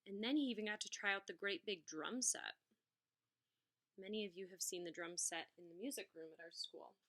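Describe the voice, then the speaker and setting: A woman reading a picture book aloud as a teacher, adding expressive explanatory asides for young children. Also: mid-range voice.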